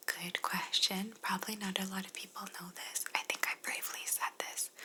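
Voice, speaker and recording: Woman whispering ASMR, crisp and bright. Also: medium pitch, low-quality recording. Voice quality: breathy, unvoiced whisper. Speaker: ASMR video creator answering viewer questions. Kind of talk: whispered ASMR, casual question-and-answer chat.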